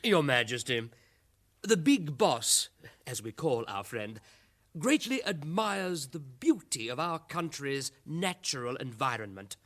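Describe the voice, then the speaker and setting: An actor playing a fawning government minister in a satirical radio drama, formal and obsequious in manner. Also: male voice, mid-range voice, big pitch swings, slow, fairly bright tone, exaggerated voice. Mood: persuasive. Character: fawning, smooth-talking government minister courting his queen.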